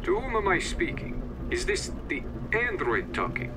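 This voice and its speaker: An actor playing a coldly polite, menacing professor villain in an audio drama, speaking in a formal, condescending and measured way. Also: male voice, high voice, huge pitch swings, noisy background.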